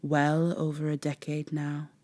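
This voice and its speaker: A woman as a slow, soft, haughty vampire lady. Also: low voice, completely flat delivery, no echo. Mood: pointed, probing. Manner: formal, measured, condescending. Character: haughty, teasing female vampire, formal and worldly. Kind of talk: soft-spoken ASMR roleplay acting.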